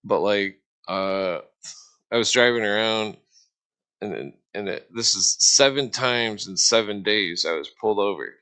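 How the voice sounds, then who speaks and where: A man's voice: fairly low pitch, unhurried, bright, forceful tone, clean tone. A man chatting casually on a livestream, telling anecdotes in a rambling way with repeated phrases. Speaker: livestream talker. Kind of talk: casual chat.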